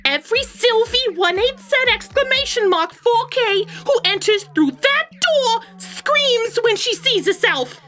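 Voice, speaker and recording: A woman voicing an exasperated female alien clerk in a scripted audio comedy, brisk and theatrical. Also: extremely high pitch, expressive, a bit bright, soft background music, full character voice. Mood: brisk, matter-of-fact.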